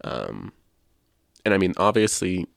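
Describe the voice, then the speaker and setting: A man co-hosting a casual video game discussion, offering criticism in a measured, qualified way close to the mic. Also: deep voice, very animated, creaky, no room sound. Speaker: podcast co-host.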